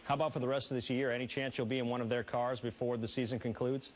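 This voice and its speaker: A man's voice: mid-range voice, level tone, quick pace. Male sports show host with background noise. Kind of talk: broadcast sports interviewing, presenter delivery.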